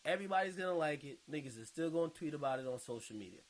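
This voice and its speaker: Mocking male commentator, animated and high-pitched. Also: racing, slight background noise. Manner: mocking, blunt, slangy. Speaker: video commentator reading viewer comments. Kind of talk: casual reaction commentary.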